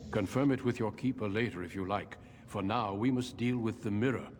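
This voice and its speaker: A man voicing a calm, grave veteran human warrior in a video game, speaking in a measured, formal, courteous way. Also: fairly low pitch, close to an everyday voice. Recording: echoey cave reverb, noisy ambience behind.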